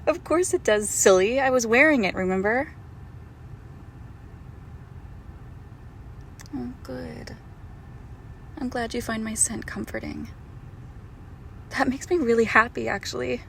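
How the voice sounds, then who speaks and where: A woman playing a doting, possessive girlfriend who is secretly a monster, in an intimate, hushed roleplay audio drama. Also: on the high side, gravelly, slight background noise. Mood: affectionate. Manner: slow, hushed, tender, often dropping to a whisper.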